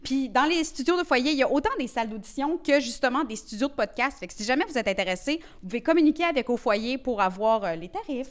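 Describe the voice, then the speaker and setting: A woman's voice: high voice, animated, fast, few pauses. A woman with a Quebec French accent co-hosting a podcast, chatting and making announcements in an informal, playful way. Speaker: podcast co-host. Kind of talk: casual chat and announcements.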